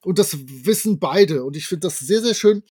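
Male podcast co-host, high register, enthusiastic and casual discussion style. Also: lively intonation, normal speed, a little mellow, husky. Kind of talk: casual discussion and retelling of an audio drama.

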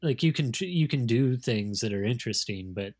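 A man talking casually as an interview guest, thoughtful and explanatory, often halting as he works through his point. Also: medium pitch, animated. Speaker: magazine editor, interview guest.

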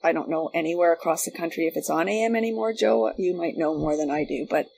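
A woman's voice: lowish voice, fast, creaky, a little mellow, a little hiss or hum. A middle-aged woman in casual, rambling conversation as a podcast guest, often repeating her phrases. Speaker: podcast guest, former radio worker. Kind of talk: casual chat.

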